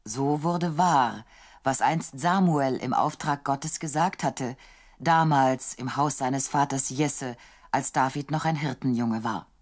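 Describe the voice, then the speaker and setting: A woman narrating a scripted Bible story in a composed, measured way. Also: extremely low pitch, darkish tone.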